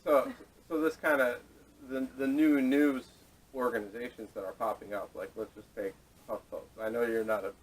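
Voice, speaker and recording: A man's voice: mid-range voice, fairly even intonation, moderate pace. A man hosts a casual panel talk in a mid-range voice. He circles through his points with hesitant, rambling phrasing. The camera audio puts him far from the microphone, with light noise behind. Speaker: podcast host. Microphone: distant camera microphone. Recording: distant camera audio, noisy.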